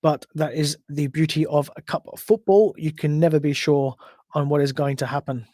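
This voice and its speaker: Chatty Australian man, higher voice. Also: steady tone, normal speed, mellow, no room sound, clean studio sound. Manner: chatty, rambling with self-corrections. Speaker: podcast host. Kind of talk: solo livestream chat.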